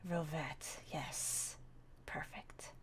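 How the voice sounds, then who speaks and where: A woman's voice: sits low, steady tone, very slow, husky, whispered, heavily acted. A woman playing a playful, carefree young survivor in a Minecraft roleplay, talking in a casual, bubbly, impulsive way. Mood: easygoing, eager.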